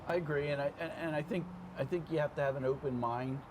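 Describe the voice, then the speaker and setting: A man's voice: fairly high pitch, steady tone, fast. Plainspoken older man, noisy room. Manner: plainspoken, checks in with "okay?" and "you know". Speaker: veteran fire officer, interview guest. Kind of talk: casual conversational interview. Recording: clear background room noise.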